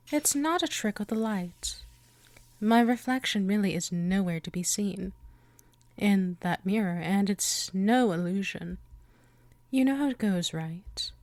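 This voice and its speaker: Woman performing female vampire for ASMR roleplay: unhurried, dry close sound, coy and politely possessive. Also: medium pitch, a little mellow, no echo. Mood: coy, confiding. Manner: coaxing, chatty, teasingly polite. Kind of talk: ASMR roleplay, addressing the listener.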